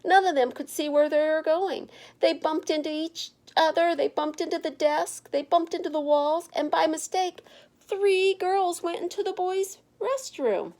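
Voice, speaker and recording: A woman reading a picture book aloud to children in an expressive, emphatic narrating style. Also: very high-pitched, a little slow. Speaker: children's storybook reader.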